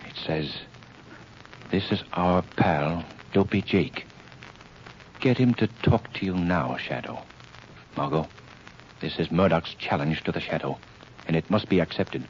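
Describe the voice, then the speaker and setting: A muffled old 1930s radio drama with clear noise carries a calm gentleman sleuth. His voice is exceptionally deep, and he speaks gravely and with measured authority. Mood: grave, resolute.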